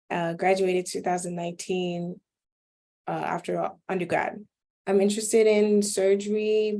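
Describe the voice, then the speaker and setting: A woman in her twenties from Nigeria, a medical student hosting an online panel session in a friendly, unhurried way with some fillers. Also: mid-range voice, mellow.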